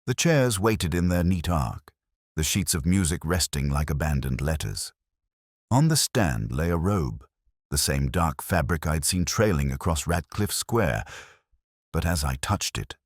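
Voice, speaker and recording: Male narrator for detective fiction: extremely low register, expressive intonation, solemn and measured, dry close sound. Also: dry recording. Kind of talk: scripted first-person detective story narration.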